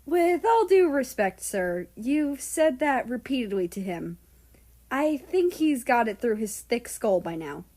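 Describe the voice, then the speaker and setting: Girl doing a smug sarcastic young guy in a story, cheeky, kinda high voice. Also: big pitch swings, unhurried, a little mellow. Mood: defiant. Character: cheeky, defiant young man.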